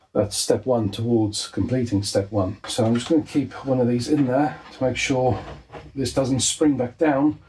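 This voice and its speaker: A man's voice: mid-range voice, darkish tone. Casual man talking through a repair, faint noise. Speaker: host of a repair video. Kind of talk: casual hands-on tutorial commentary while working. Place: indoors at a desk. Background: clicks and handling noise of tools.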